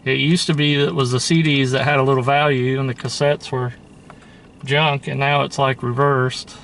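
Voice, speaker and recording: Male, mid-pitched, informal collector-host musing aloud with opinions, faint noise behind him. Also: little pitch movement, moderate pace, gravelly, a bit bright. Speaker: host showing a thrift store tape collection. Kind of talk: casual show-and-tell commentary.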